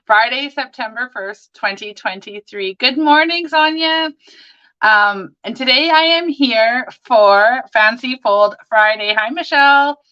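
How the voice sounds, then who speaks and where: A hoarse woman hosting a live crafting stream, chatting and greeting viewers by name as she works. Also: a little high, unhurried, sharp tone, dull audio. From Canada.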